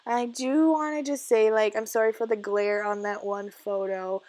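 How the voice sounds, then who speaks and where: A young woman from Canada with a husky, croaky voice, casually narrating her scrapbooking in a home basement, full of "uh" fillers and soft laughs. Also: medium pitch, fairly even intonation, moderate pace, no echo. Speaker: scrapbooking vlogger. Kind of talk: casual voice-over narration of crafting. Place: home basement craft area.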